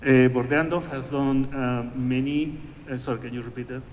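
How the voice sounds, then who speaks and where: A man interpreting live from Spanish at a panel, halting and full of fillers, correcting himself as he goes. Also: medium pitch, fairly even intonation. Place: museum event hall. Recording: echoey hall with faint noise.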